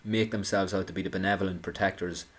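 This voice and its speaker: Man, deep voice, sarcastic commentator delivering an indignant monologue with stumbles and restarts. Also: fairly even intonation, quick pace, slightly rough. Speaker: political commentator.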